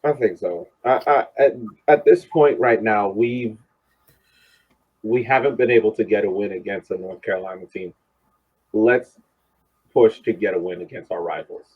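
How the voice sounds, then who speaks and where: Low-voiced man, slightly muffled sports podcaster. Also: moderate pace, long pauses, slightly rough. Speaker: podcast co-host, soccer coach. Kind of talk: casual sports discussion. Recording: slightly dull, lo-fi audio.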